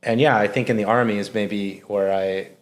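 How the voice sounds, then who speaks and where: Guy from minnesota, lowish voice, earnest and reflective guest. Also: steady tone, normal speed. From Minnesota, United States. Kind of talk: conversational interview.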